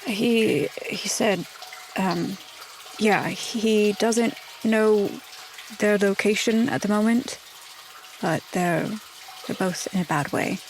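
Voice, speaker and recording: Woman playing a gentle, unhurried adventurer. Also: medium pitch, a little hiss or hum, poor audio. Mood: concerned, hesitant. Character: gentle, steady, caring adventurer companion.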